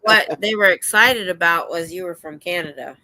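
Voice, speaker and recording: An older American woman hosting a livestream, chatting in a rambling, excitable way. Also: fairly low pitch, moderate pace, rough recording. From United States. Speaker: livestream host. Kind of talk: casual chat. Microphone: streaming setup.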